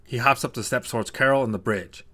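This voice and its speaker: A man's voice: medium pitch, fast talker, sharp tone. A man narrating a scripted short story as a storyteller.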